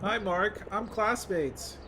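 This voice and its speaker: A man's voice: sits high, slow, noisy background. A man giving casual, slangy playthrough commentary on a game as a streamer. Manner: informal slangy fan talk. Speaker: gaming streamer reacting to a visual novel. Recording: music playing behind the voice. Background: clear background music.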